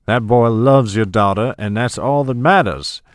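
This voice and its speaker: An actor playing a scheming, ageing oil tycoon and patriarch in an audio drama, commanding and patronizing. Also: male voice, mid-range voice, measured pace, no echo, clean studio sound, lightly acted. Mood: defensive, scheming.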